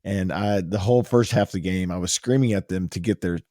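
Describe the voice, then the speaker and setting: A hoarse man from the United States hosting a casual podcast, boisterous and emotional. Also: low-pitched, brisk, mellow, no echo, clean studio sound. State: hoarse from cheering. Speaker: podcast host.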